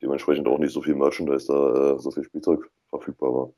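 A man's voice: a bass voice, little pitch movement, quick pace, warm tone, dry recording, clean studio sound. A man reminiscing in a casual chat as a guest on a podcast.

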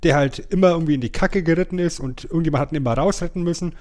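A man's voice: fairly high pitch, very fast, darkish tone. A man co-hosting a podcast in casual, colloquial chat, repeating words for emphasis. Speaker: podcast co-host.

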